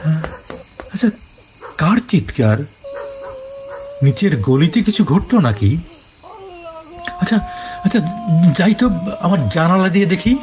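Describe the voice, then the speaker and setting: A man playing a curious, concerned gentleman resident in an audio drama, speaking in an exclamatory, questioning way. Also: high voice, very animated, measured pace, long pauses, lo-fi sound, background noise.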